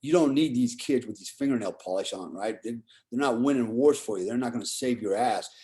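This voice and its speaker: A man's voice: mid-range voice, brisk, dark tone. A middle-aged man telling stories and giving opinions casually, in a blunt, profane way, often checking for agreement. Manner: often seeks agreement with "right?" and "you know". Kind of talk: casual conversational storytelling and opinion.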